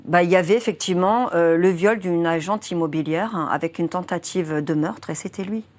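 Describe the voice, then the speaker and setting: A woman's voice: on the low side, fairly quick, a little mellow. A woman in her twenties, a journalist being interviewed, who explains a criminal case earnestly, chooses her words with care and uses many fillers. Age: 20s. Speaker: journalist guest.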